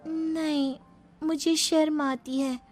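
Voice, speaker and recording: A woman's voice: high-pitched, little pitch movement, slow, a little mellow, faint music behind. A woman voicing a young bride in a cartoon moral story, coy but ready to answer back. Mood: shy. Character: young bride, shy yet cheekily matter-of-fact. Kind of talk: dramatized Hindi cartoon story acting.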